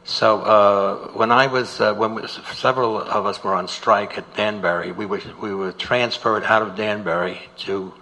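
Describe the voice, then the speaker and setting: An older man speaking off the cuff as a guest, reminiscing in a halting, hesitant way. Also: on the low side, steady tone, sharp tone, low-quality recording. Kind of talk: unscripted personal reminiscence on stage.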